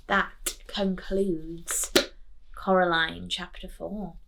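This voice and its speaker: A woman teacher reading a children's story aloud expressively, deliberately and with dramatic pauses. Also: on the low side, creaky, fairly bright tone, rough recording.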